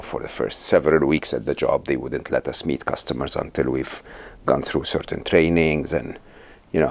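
A man in his fifties from Egypt, a podcast guest talking in a measured, thoughtful way with many pauses, recorded on a lapel mic. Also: extremely low pitch, gravelly. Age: 50s. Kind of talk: reflective interview talk. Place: bright studio office with plants.

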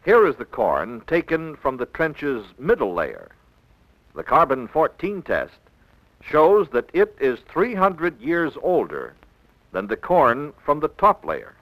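American guy doing old 60s school film narration, slow n careful, lively up and down pitch. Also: no echo, low-quality recording. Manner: measured, didactic, clearly enunciated. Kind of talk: scripted 1960s educational film narration. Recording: old 1960s film soundtrack.

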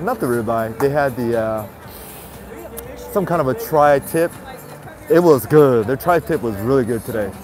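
A man's voice: medium pitch, darkish tone. Chatty stuffy-nosed man vlogging outdoors. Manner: chatty, repeats greetings, talks to viewers. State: stuffy nose. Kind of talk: casual live-stream walking commentary. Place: city sidewalk outdoors at night. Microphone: handheld phone camera.

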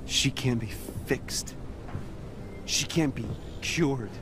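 An actor playing a grim scientist holding back anger in a game, speaking with tense insistence. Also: male voice, mid-range voice, extremely slow, background noise. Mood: grim, warning. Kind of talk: dramatic game cutscene acting.

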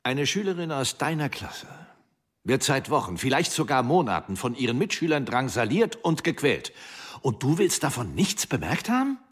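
A husky, reproachful school colleague. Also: male voice, medium pitch, very animated, moderate pace. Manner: reproachful, indignant.

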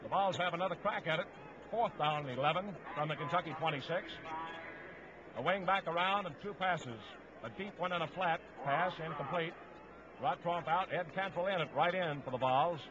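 A male sports announcer with a high voice gives clipped football commentary in 1950s radio style. The recording is dull and band-limited, with clear noise over it. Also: moderate pace. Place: stadium broadcast booth.

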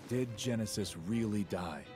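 A man's voice: lowish voice, moderate pace, close to an everyday voice. A man voicing a cool, commanding elite warrior officer in a video game, with composed, terse and detached delivery over game music. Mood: grave.

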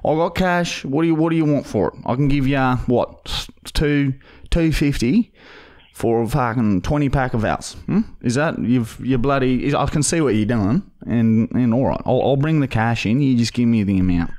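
A man's voice: medium pitch, a little mellow, natural voice. Man putting on a rambling Australian bogan chancer. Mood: conspiratorial, pushy. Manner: rambling, halting, repetitive, slangy. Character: dodgy, pushy young male bogan pestering for painkillers. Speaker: prank caller, podcast host. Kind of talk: improvised prank phone call. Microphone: close studio mic on boom arm.

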